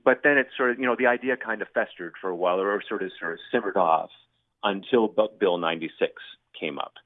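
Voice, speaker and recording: A man, a journalist being interviewed, talking in halting bursts full of fillers and restarts. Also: lowish voice, no room sound. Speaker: journalist guest. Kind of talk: phone-in radio interview. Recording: tinny phone line.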